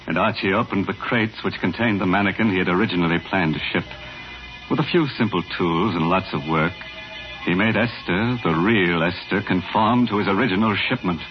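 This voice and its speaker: An actor narrating an old-time radio thriller as an ominous, sardonic storyteller of the macabre. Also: male voice, sits low, a little fast, close to an everyday voice. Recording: muffled vintage radio recording. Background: dramatic music.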